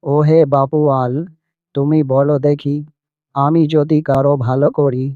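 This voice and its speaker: A man's voice: on the high side, little pitch movement, a little slow, no echo, natural voice. A man playing a kindly, aggrieved holy man in a cartoon fable, earnest and pleading, in slightly dull audio.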